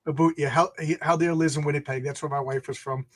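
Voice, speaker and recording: A man's voice: a little high, fairly even intonation, fast, no room sound, studio quality. A man from New York hosting a casual chat, rambling, joking and interrupting often. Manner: rambling, jokey, keeps interrupting. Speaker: podcast host.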